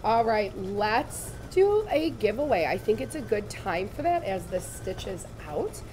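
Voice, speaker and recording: A woman, slightly raspy from laryngitis, giving a chatty, upbeat live sewing tutorial in a studio. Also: mid-range voice, sing-song, measured pace, a little mellow, slight background noise. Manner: chatty, upbeat, addresses viewers directly. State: recovering from lost voice. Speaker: embroidery demonstrator. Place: studio set with shelves, indoors.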